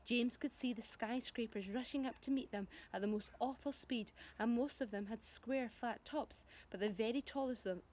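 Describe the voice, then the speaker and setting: A woman with a fairly high voice narrates a children's storybook. She is expressive and animated, shaping the story for young listeners and addressing them directly like a primary school teacher.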